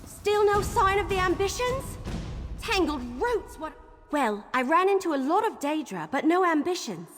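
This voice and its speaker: A woman's voice: very high-pitched, very animated, moderate pace. An actress playing a plucky young female scout with quirky exclamations in a video game. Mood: urgent, puzzled. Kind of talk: video game quest dialogue. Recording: long reverb, music underneath.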